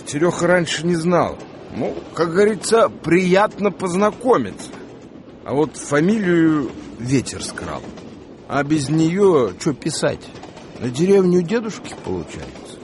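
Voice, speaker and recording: A man's voice: a little high, big pitch swings, a little slow, noisy background. A man narrating a story for a radio play in a dramatic, wry, colloquial way. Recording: music bed behind the voice.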